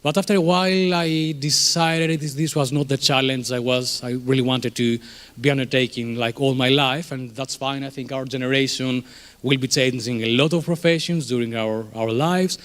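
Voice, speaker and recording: A man's voice: medium pitch, steady tone, normal speed, a bit bright. A man in his thirties from Greece with a Greek accent, giving a conversational conference presentation in a room, with many fillers and restarts. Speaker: conference speaker, startup co-founder.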